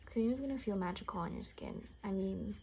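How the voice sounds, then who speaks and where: A young woman doing an ASMR roleplay in soft, half-whispered, gossipy rambling chat. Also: mid-range voice, brisk, a little hiss or hum. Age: young adult. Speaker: ASMR performer. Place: small bedroom, indoors.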